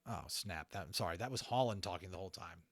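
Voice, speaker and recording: A man narrating a fantasy audiobook in an animated storytelling style, with informal asides. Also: deep voice, fast, no room sound.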